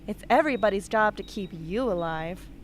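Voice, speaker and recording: A woman's voice: mid-range voice, animated, normal speed, lightly acted. A woman playing a blunt, sardonic, tough young patient in an amateur radio drama, with a mocking delivery. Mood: blunt, scornful. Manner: sarcastic, mocking. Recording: noisy amateur radio play recording.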